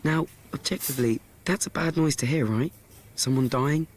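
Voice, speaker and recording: A man's voice: a little high, moderate pace, a little hiss or hum, close to an everyday voice. An actor playing an awkward, frank teenage boy in a radio play, with a blunt, confiding manner. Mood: deadpan, confiding. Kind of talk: radio play monologue and dialogue.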